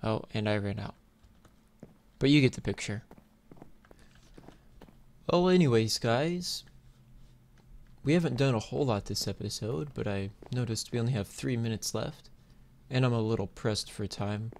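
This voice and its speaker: A man's voice: medium pitch, normal speed, pauses often, darkish tone. A man casually commentating on his own gameplay, thinking aloud in an informal way as host. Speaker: host of a gaming video series. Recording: clear background noise, keyboard clicks. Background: computer keyboard and clicking.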